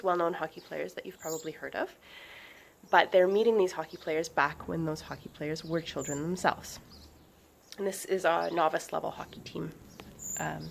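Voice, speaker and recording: A woman reading a children's book aloud in an expressive, dramatic narrating style, stretching some words and hushing others. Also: deep voice, creaky. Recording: faint background noise.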